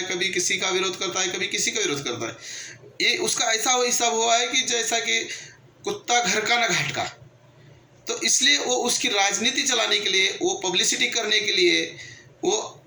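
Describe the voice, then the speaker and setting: A man's voice: sits high, bright, forceful tone. A man giving emphatic, repetitive, argumentative opinion commentary to his viewers as a channel host. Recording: echoey room with background noise.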